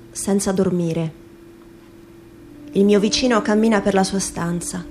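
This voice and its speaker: A woman's voice: medium pitch, a little mellow. A woman performing a literary monologue as a stage reader in radio theatre. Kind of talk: literary reading over music. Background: instrumental music.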